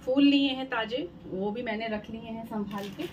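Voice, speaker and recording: A woman's voice: fairly high pitch, moderate pace. A woman in her thirties chatting casually to the camera in a home vlog, showing things one by one with many fillers. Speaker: home vlogger. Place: bright room at home, later a balcony. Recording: home phone recording with clear background noise.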